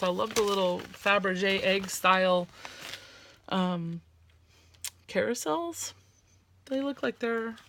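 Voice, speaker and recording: A woman with a slight lisp and a loud, carrying voice, chatting casually and rambling a bit while she works on a craft project at home. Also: mid-range voice, slight background noise. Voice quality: loud voice that carries. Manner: chatty, rambling, with frequent fillers. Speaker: crafting vlogger.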